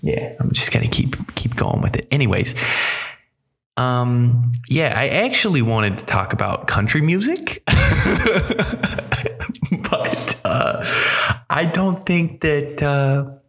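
A man's voice: mid-range voice, big pitch swings, takes it slowly, creaky, pauses often, fairly bright tone. A man hosting a solo podcast who puts on a New Jersey accent and rambles casually, swearing and dropping fillers. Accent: put-on New Jersey accent. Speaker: podcast host.

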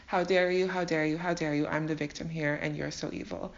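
A woman's voice: sits low, fairly even intonation, fairly quick, pitched below the performer's own voice. Wronged son-in-law, acted by a female storyteller: melodramatic, accusing, emphatic; lo-fi audio. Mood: aggrieved, melodramatic. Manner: theatrical, aggrieved, emphatic. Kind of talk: comedic storytelling dialogue.

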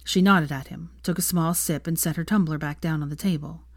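A woman's voice: low-pitched, fairly even intonation, brisk, no echo, studio quality. A woman reading fiction aloud as an audiobook narrator, in scripted storytelling style.

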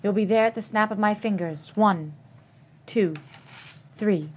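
A woman plays a female hypnotist in a thriller reading. She is soothing yet commanding, guiding her subject in a mid-pitched voice. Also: measured pace, slight background noise, natural voice.

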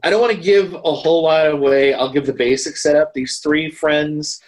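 A man talking casually as a podcast co-host, haltingly, often repeating and correcting his words. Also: a little high, low-quality recording. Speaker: podcast co-host reviewing horror films. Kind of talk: casual film discussion.

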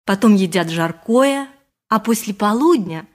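An actress playing a simple, thoughtful milliner in a radio play, narrating and talking in an ingenuous, musing way. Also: female voice, medium pitch, animated, unhurried. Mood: reflective.

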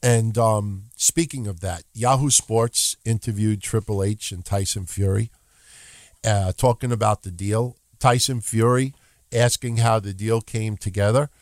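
A man's voice: on the low side, measured pace, no room sound. A man co-hosting a podcast, ranting in casual chat and swearing often. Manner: profane, ranting, animated. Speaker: podcast co-host.

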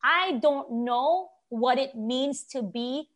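A woman's voice: high-pitched, slow pace. A woman from Haiti giving her opinions in a conversational discussion, speaking earnestly and with emphasis.

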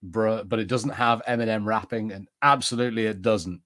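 A man's voice: fairly low pitch, fairly even intonation, fairly quick, a bit bright. Man in rambling casual podcast chat. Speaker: podcast co-host. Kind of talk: casual chat, reading out viewer comments.

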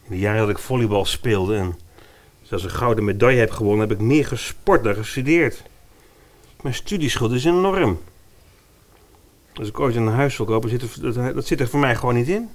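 A man's voice: medium pitch, normal speed, lightly acted. A man playing a young, earnest, broke personal trainer and biker in a radio play.

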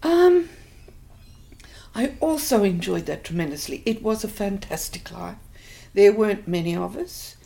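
An older woman with an Australian accent, thoughtfully recalling her life in an interview, pausing often. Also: mid-range voice, expressive, vocal fry, dark tone. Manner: reflective, hesitant with many fillers. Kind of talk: oral history interview.

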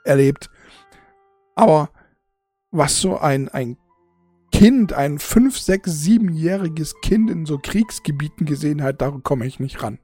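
A forty-year-old speaking off the cuff to an audience in a husky, gravelly voice, halting and repeating words, emotional and blunt with casual swearing. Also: mid-range voice, animated, warm tone, faint music behind. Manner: halting, repetitive, searching for words. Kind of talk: unscripted casual monologue to an audience.

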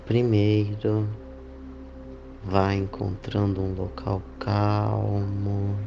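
A man leading a guided meditation, speaking in deliberate phrases with long pauses. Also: on the low side, monotone, mellow, highs cut off. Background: clear background music.

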